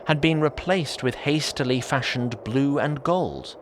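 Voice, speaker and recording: A man's voice: medium pitch, a little slow, noisy background. A man reading scripted narration for a fantasy audio drama. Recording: music under the voice. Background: music.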